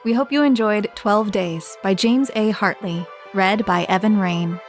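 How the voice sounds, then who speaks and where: A woman delivering the scripted intro and outro of an audiobook podcast in a clear, announcer-like way. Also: mid-range voice, measured pace, soft background music. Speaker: podcast host.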